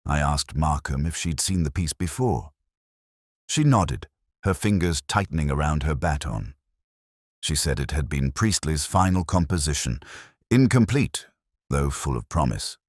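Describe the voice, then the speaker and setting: Very deep-voiced measured male narrator. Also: animated, no echo, studio quality. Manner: measured, reflective, unhurried delivery. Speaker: first-person narrator. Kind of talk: scripted first-person mystery narration.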